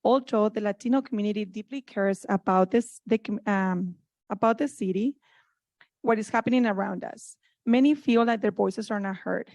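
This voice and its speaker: A woman from Mexico with a Mexican Spanish accent, a child care owner, giving a steady prepared introduction. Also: mid-range voice, normal speed, no echo.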